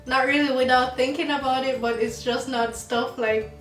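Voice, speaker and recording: A young woman from Jamaica co-hosting a casual chat, slow and laughing often. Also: high voice, fairly even intonation, fairly bright tone. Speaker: channel co-host. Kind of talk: casual get-to-know-me chat. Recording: roomy sound, mic at a distance, music behind.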